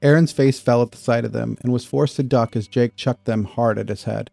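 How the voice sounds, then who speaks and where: A man narrating a written story in an expressive audiobook style. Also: medium pitch, brisk, creaky, faint music behind.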